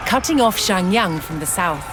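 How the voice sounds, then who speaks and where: A woman giving measured, formal scripted narration in the style of a historical documentary for a game. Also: fairly low pitch, animated. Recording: music behind the voice. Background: background music.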